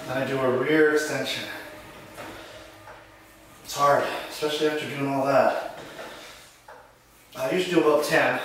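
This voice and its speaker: A man's voice: on the high side, noisy background. Man talking from across an echoey home gym. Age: 40s. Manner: talks while exercising, casual and a bit breathless. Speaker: fitness vlogger. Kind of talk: casual workout demonstration. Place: small home gym, indoors. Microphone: camera mic from across the room. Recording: echoey room, distant camera mic.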